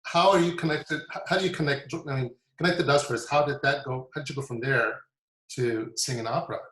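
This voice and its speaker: A man's voice: mid-range voice, fairly quick, a bit bright, roomy sound, poor audio. A man hosting a video interview, asking questions with frequent ums and short affirmations. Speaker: interviewer and artistic director. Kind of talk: friendly interview host.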